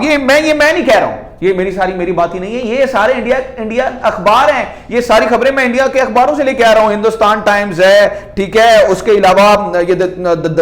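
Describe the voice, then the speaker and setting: A man with a Pakistani accent hosts a conversational political commentary, explaining emphatically and answering his own rhetorical questions. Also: high voice. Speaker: host of a news commentary video channel. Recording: echoey, reverberant room sound.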